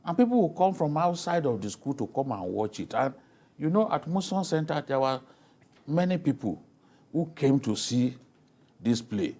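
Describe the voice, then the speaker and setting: A middle-aged man with a Nigerian accent in an unscripted panel discussion, speaking haltingly and repeating words. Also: on the high side, very animated, mellow.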